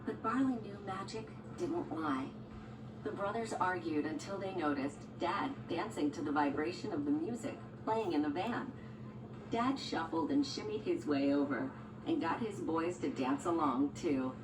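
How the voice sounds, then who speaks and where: A woman's voice: deep voice, normal speed, background noise. A woman reading a scripted children's storybook as narrator. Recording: echoey, distant, music behind.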